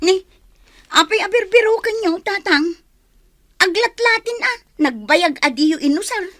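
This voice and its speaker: An actress playing a perky, questioning young village daughter in a radio drama. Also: female voice, very high-pitched, animated, measured pace, fairly bright tone, full character voice. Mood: puzzled.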